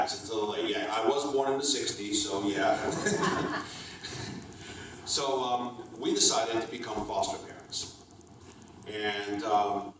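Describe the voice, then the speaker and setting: A man in his fifties from Portugal talks haltingly to a room full of people, with plenty of ums and restarts. His voice sits fairly low, and it is picked up from a distance in a very echoey hall with some room noise. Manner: halting, many fillers and restarts. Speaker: startup co-founder presenting. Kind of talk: hesitant public talk. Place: community event hall.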